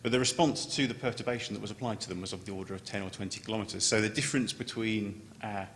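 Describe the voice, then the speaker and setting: A man giving an unscripted technical conference presentation as a scientist, halting and often repeating words as he starts a phrase. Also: fairly even intonation, a bit bright. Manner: halting, with stammered word repetitions. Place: large conference room. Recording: echoey hall, noticeable background noise, low rumble.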